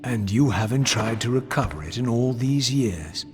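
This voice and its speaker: An actor playing a bitter, brooding undead wraith in a video game, with grave, theatrical, deliberate phrasing. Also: male voice, mid-range voice, lively intonation, lightly acted. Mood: suspicious, bitter. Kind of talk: dramatic video game dialogue and monologue. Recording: music behind the voice.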